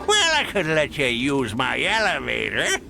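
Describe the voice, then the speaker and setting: A man playing a cranky, possessive old gold prospector in a cartoon game, with a gravelly Old West drawl. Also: fairly high pitch, wildly swooping pitch, measured pace, over music, heavily acted. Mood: grudging. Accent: American Old West. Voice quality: creaky, gravelly. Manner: grumpy, drawling. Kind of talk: animated video game voice acting.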